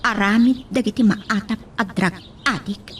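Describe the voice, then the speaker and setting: A woman's voice: mid-range voice, a little slow, slight background noise, lightly acted. A woman with an Ilocano accent playing an earnest, worried young daughter in a radio drama. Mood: alarmed.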